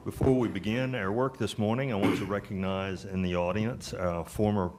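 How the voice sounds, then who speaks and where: A man chairing a town board meeting, speaking in a measured, procedural way. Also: on the low side, steady tone, background noise.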